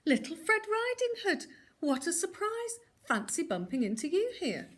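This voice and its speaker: Woman putting on an excitable bumbling wolf. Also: normal speed, close to an everyday voice. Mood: fake innocent. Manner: excitable, squeaky when scared. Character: eager, bumbling young male wolf.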